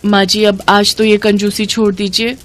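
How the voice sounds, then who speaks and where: A dutiful young village wife from a moral storybook, played by a woman narrator. She is meek and polite, a little hesitant, in a mid-pitched voice. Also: flat delivery, normal speed, close to an everyday voice. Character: meek, dutiful young daughter-in-law in a poor household.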